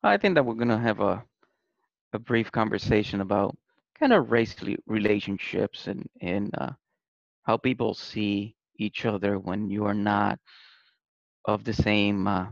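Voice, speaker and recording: A low-voiced man with a gravelly edge, talking haltingly with lots of fillers. The recording is dry and dull, with a lo-fi feel. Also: no echo. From Puerto Rico. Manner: hesitant, many fillers and repeated words. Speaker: podcast guest. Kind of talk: casual conversation.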